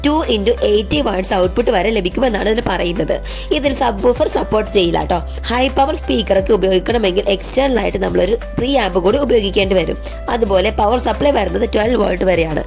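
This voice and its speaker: A woman narrates a product review in a scripted presenter style. Her voice sits at a middle pitch, with music playing softly behind her. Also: fast talker, gravelly.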